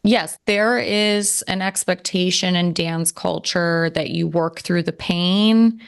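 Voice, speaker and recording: Low, smooth-voiced woman chatting. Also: takes it slowly. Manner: earnest, reflective, many fillers. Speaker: podcast co-host. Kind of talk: casual chat.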